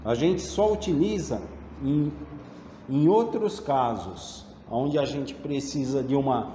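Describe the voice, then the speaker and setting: A man with a Brazilian accent giving a hands-on tutorial in a deliberate, step-by-step explanatory way. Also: mid-range voice, lively intonation, mellow. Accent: Brazilian Portuguese. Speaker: tradesman demonstrating door painting. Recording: dull, echoey, reverberant room with background noise.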